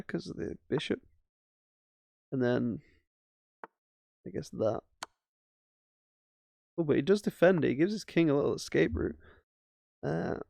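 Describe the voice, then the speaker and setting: A man's voice: fairly high pitch, gravelly, a little mellow, no room sound. A man casually commentating his own chess games as he plays, thinking aloud with self-mocking asides. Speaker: chess streamer.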